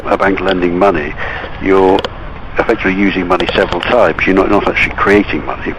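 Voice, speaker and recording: A man's voice: low voice, steady tone, quick pace. A man working as a bank representative on a phone call, countering politely in a guarded, hesitant way. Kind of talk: phone conversation. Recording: dull, noisy telephone line.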